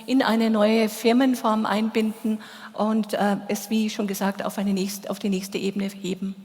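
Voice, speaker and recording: A woman's voice: medium pitch, level tone, a little slow, a little mellow. Woman interpreting on stage, mid voice, long hall echo. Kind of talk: consecutive interpreting into German. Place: large hall.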